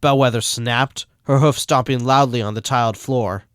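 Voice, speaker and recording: A man's voice: medium pitch, fairly even intonation, moderate pace, clean tone, dry recording. A man reading scripted story narration as the narrator. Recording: music behind the voice.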